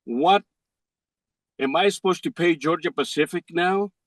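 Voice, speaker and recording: An older man, a native Greek speaker with a clear Greek accent, pleads his case as a defendant. His voice sits a little high for a man and is recorded quite dry. He builds his phrases haltingly, in non-native wording. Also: no echo. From Greece. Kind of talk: unscripted pleading in court. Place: courtroom.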